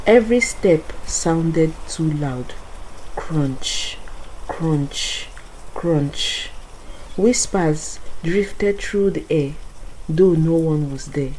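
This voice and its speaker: A woman narrating a scary bedtime story as a dramatic storyteller, with suspenseful, deliberate pauses. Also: sits low, warm tone, slight background noise, poor audio.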